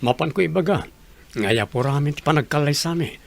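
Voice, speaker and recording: A man's voice: on the high side, fairly quick, creaky. A man with an Ilocano accent playing a responsible, practical father in a radio drama. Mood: matter-of-fact. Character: responsible father who works overseas.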